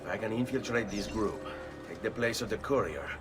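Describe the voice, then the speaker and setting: A man's voice: on the low side, brisk, natural voice. An actor with an Italian accent playing a brooding, determined nobleman assassin of about thirty in a video game. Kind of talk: video game cutscene acting. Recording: music behind the voice.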